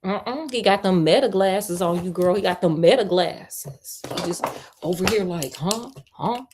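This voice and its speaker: A woman host reading a story aloud on a livestream, breaking in with playful, colloquial asides and expressive delivery. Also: fairly low pitch, normal speed, slight background noise.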